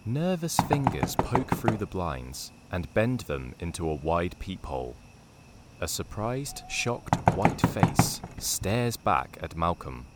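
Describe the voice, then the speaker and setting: An actor voicing a wry, deadpan storyteller, narrating a scripted dark comedy. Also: male voice, low voice, takes it slowly, heavy background noise, full character voice.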